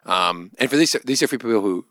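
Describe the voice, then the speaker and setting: A man's voice: fairly low pitch, animated, brisk, fairly bright tone, no echo, clean studio sound. A man co-hosting a podcast, talking through religious ideas in a conversational, explanatory way with lots of "like". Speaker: podcast co-host. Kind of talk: casual religious discussion.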